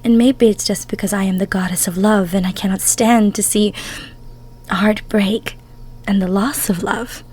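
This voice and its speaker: A woman playing a tender, emotional goddess of love in an intimate roleplay audio, cooing soothingly in a breathy, near-whispered voice. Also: mid-range voice, fairly even intonation, normal speed, a little mellow, lightly acted. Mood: tender, advising. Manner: soothing, cooing, coddling with pet names.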